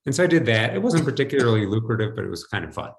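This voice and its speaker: A man's voice: mid-range voice, sing-song, racing. A man in his fifties with an American accent, telling personal stories conversationally as an interview guest on a video call. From United States, New Jersey. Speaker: podcast guest, financial advisor. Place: home, indoors. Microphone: video call computer mic.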